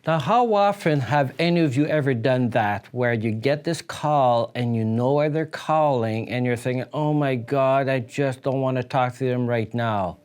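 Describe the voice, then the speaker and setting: A man hosting an instructional business advice video as an accountant, speaking in an emphatic, deliberate way that stresses key words. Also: a little high, a little mellow. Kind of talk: instructional advice talk to camera.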